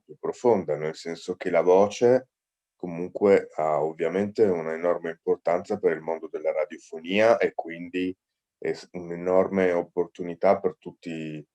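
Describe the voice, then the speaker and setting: A man's voice: low-pitched, dark tone. A man speaking as a guest for a radio association, hesitant and searching for words. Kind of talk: guest answering in an online presentation.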